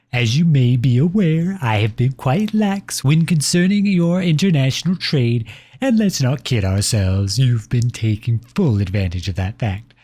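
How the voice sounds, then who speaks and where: A man's voice: medium pitch, warm tone. A man voicing a pompous, possessive empire personified as a cloyingly affectionate suitor in a scripted ASMR roleplay, reading out letters with sugary condescension. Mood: smug, fond.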